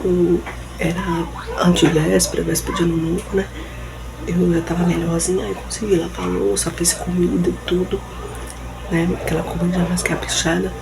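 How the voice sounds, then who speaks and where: A hoarse Brazilian woman chatting casually to her viewers at home, rambling and checking in with them often, her voice rough after a recent flu. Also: on the low side, flat delivery, normal speed, rough recording. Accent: Brazilian Portuguese. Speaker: homemaker vlogger. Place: home, near a running washing machine. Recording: loud household noise, washer and clattering dishes.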